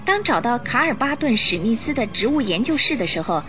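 A woman narrating a radio drama in an expressive, scripted storytelling style. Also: fairly high pitch, a little fast, faint music behind.

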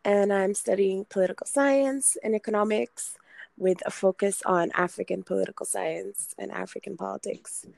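A woman's voice: medium pitch, steady tone, moderate pace, creaky, darkish tone, no room sound. A young woman from Sudan, a university student, speaking as a podcast guest in a chatty way, with many fillers and restarts. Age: young adult. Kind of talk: casual interview talk.

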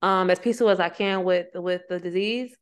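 A woman co-hosting a podcast, speaking candidly in relaxed conversation. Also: lowish voice, clear, resonant tone. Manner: candid, conversational, quick reactions. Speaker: podcast co-host. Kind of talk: casual podcast chat.

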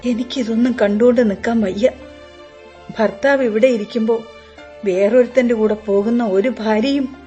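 An actress plays a middle-aged mother-in-law in a radio drama. Her voice is fairly high, earnest and reproachful, that of a worried mother who disapproves and shields her son. Faint music sits underneath. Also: fairly even intonation, normal speed, dark tone, muffled recording, close to an everyday voice. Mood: pained, worried. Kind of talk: Malayalam radio play acting.

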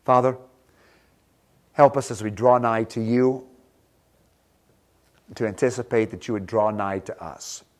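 A man's voice: lowish voice, fairly even intonation, a little slow, pauses often. A man preaching a sermon, earnest and emphatic, repeating words for stress, then leading a prayer. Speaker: pastor.

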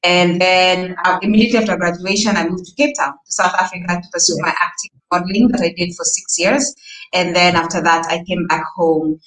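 A woman from Kenya in her thirties, a company founder answering interview questions briskly over a video call. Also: on the low side, fairly even intonation, a bit bright, roomy sound, rough recording. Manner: brisk, matter-of-fact. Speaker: podcast guest, company founder.

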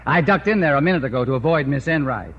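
An actor playing a brisk, businesslike magazine photographer in a 1950s radio sitcom. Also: male voice, on the high side, animated, natural voice. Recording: muffled old radio broadcast with hiss.

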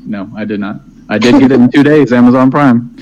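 A man's voice: mid-range voice, a little fast, a little mellow, lo-fi sound. A man co-hosting a podcast in easygoing casual chat from his home, sitting by an open door. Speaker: podcast co-host.

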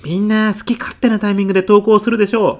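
A man's voice: high-pitched, level tone, racing, darkish tone, lightly acted. A man playing a polite, talkative, self-important dad in a comedic audio drama. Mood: earnest, proud. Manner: polite, talkative, matter-of-factly proud. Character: earnest, self-important stay-at-home-working dad, celebrity gossip writer.

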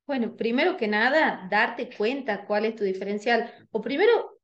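A woman's voice: animated, moderate pace, lo-fi sound. A woman with a Tucumán Argentine accent, co-hosting a radio podcast and speaking in a sincere, reflective way. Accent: Tucumán Argentine Spanish. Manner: sincere, reflective, self-described high-pitched voice. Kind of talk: casual radio chat.

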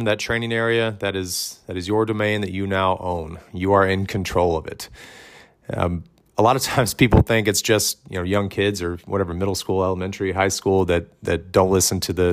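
A man hosting a podcast, giving loud, direct coaching advice in casual talk, with many ums and restarts. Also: low voice. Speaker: fitness coach and podcast host.

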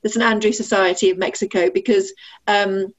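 A woman's voice: steady tone, fairly quick. A woman, answering interview questions in a friendly, conversational way with many fillers and restarts. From Sheffield, England. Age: 40s. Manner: friendly, frequent fillers and restarts, laughs easily. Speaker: ambassador, interview guest. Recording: muffled, low-fidelity audio.

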